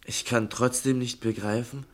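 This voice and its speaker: Radio play: male actor as earnest, deferential questioner, medium-pitched voice, tentative yet persistent. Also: fairly even intonation, moderate pace, natural voice. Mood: puzzled, cautious. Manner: hesitant, tentative, polite but insistent. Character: deferential, earnest man timidly questioning an overbearing professor. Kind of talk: classic German radio play acting.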